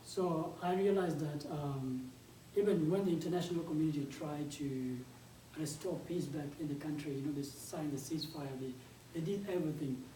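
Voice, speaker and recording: A young man with a South Sudanese accent presents in a soft-edged, mellow tone. His delivery is halting and conversational, picked up from a distance in an echoey room with faint noise behind. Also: on the high side. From South Sudanese, grew up in a refugee camp in Uganda. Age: 20s. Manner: halting, conversational, with frequent repeated words. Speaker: game developer and startup founder, guest speaker. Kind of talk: informal conference presentation.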